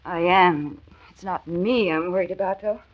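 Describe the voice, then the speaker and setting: A woman's voice: fairly low pitch, steady tone, normal speed, close to an everyday voice. An actress playing an earnest, caring, slightly hesitant female assistant in a 1940s radio drama. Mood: worried. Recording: muffled old 1940s radio recording.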